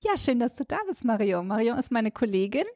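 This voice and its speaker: A woman's voice: on the high side, expressive, brisk, darkish tone, no echo, clean studio sound. A woman hosting a skincare podcast, chatty and upbeat, leading the conversation with questions. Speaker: podcast host.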